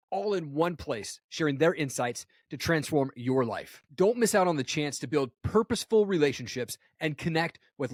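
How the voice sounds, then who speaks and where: An upbeat man in his forties hosts a podcast from a home studio. His voice sits a little high for a man, and the recording is close and dry with no room sound. He keeps it casual and friendly. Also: no echo. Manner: upbeat, casual, says man and dude a lot. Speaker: podcast host. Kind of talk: enthusiastic interview podcast. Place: home studio with a flag backdrop. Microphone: studio mic on a stand, headphones.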